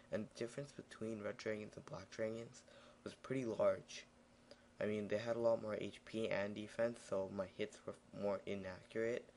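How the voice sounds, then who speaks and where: Brief: tired, raspy male gaming narrator, low gravelly flat voice, rambling casual voiceover, faint hiss behind. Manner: rambling, with many fillers and restarts.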